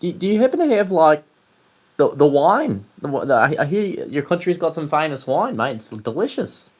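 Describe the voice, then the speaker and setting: A man's voice: a little high, very animated, moderate pace. A man playing a chummy, rambling mercenary in a tabletop roleplay, stammering, repeating himself and talking in a matey way. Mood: eager, chummy.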